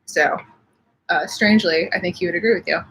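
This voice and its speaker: A woman's voice: on the low side, a little fast, a bit bright, husky, poor audio. A young woman with slightly sniffly allergies, chatting casually from home on a live stream and reading out viewer comments. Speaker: livestream host.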